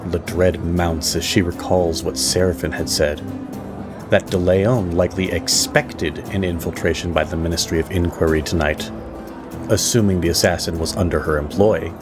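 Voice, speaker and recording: A man narrating an audio drama as storyteller, with measured, theatrical pauses. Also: low voice, steady tone, over music.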